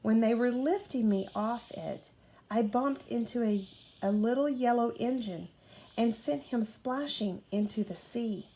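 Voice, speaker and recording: A woman's voice: fairly high pitch, unhurried, mellow, a little hiss or hum. Young storybook engine, male, kindly and earnest, taking his time; read by a woman. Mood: confiding. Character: gentle, friendly young male narrow-gauge engine.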